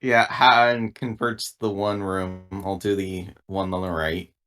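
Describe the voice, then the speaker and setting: A man's voice: low voice, steady tone, takes it slowly, fairly bright tone. A man talking casually and improvising as a player in a tabletop roleplaying game.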